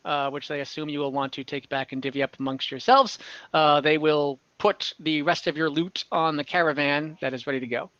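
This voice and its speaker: A woman narrating an online tabletop game as game master, informal and halting, often rephrasing as she goes. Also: low-pitched, fairly even intonation, a bit bright, no room sound, dull audio. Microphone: online group call.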